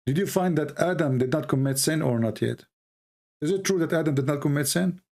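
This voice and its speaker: Male debate host, slightly high voice, relentless questioner. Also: a little fast, gravelly, darkish tone. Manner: pressing, repetitive questioning. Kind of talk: live call-in debate.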